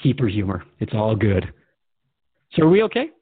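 A man's voice: fairly low pitch, very animated, normal speed, slightly rough, dry recording. A man hosting a radio drama interview in a thoughtful, courteous manner. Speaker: radio show host. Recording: dry, narrow phone-like band.

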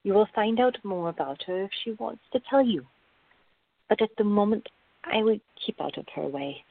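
A narrow, phone-like sound carries an actress as a calm woman with a slightly husky voice. She is measured and placating, gently firm as she explains things in a radio drama. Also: mid-range voice. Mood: knowing, patient. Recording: tinny, band-limited, echoey room.

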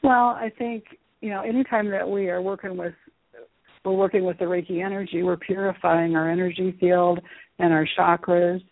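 A woman speaking as a guest teacher and author in a radio interview, explaining things in a measured way. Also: lowish voice, fairly even intonation, dry recording. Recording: muffled phone-like radio line.

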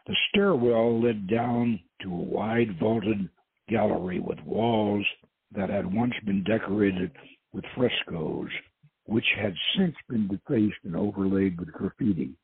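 A man hosting and reading a novel aloud in an informal, halting way, repeating words as he goes. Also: fairly low pitch, husky, no room sound. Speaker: host, reader. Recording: muffled narrowband radio-like audio.

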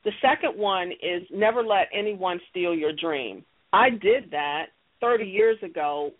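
A woman's voice: lowish voice, lively intonation, unhurried. A middle-aged woman giving interview answers in an emphatic way, repeating key phrases for stress. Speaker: interview guest, audio theater creator and company leader. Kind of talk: interview answers, explaining her success strategies. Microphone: telephone or call connection. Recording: muffled, band-limited phone line.

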